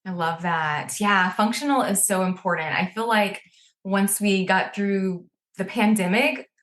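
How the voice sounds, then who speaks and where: A woman's voice: mid-range voice, unhurried, a bit bright. Upbeat woman podcast host. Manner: upbeat, reads introduction smoothly. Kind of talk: scripted intro then casual interview.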